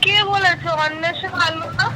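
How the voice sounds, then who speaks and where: A woman's voice: very high-pitched, fairly even intonation, measured pace, a loud crowd. A young woman in a casual phone chat, questioning and suspicious of the person she is talking to. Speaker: friend receiving a prank call. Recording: very noisy phone call.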